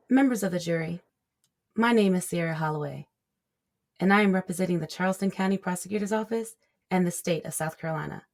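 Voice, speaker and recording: Actress as a confident, lower-pitched female prosecutor. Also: close to an everyday voice. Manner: formal, assertive. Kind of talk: audio drama opening statement.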